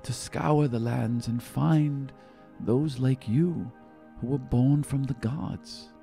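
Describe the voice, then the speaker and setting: A man voicing a gentle, sorrowful father in a tabletop roleplay, speaking tenderly, solemnly and haltingly. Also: medium pitch, vocal fry, soft background music. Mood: grave, sad. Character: gentle, sorrowful elven father revealing a painful secret.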